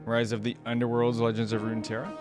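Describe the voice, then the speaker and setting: A man's voice: medium pitch, completely flat delivery, quick pace, music in the background. A man giving casual reaction commentary as host of a video.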